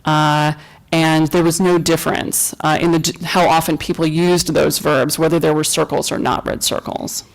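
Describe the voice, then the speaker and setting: A woman's voice: sits low, flat delivery, moderate pace, low-quality recording. A woman presenting research at a conference, answering audience questions in a thoughtful, hesitant way with many fillers, recorded in a lecture room. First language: English. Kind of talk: academic talk and Q&A.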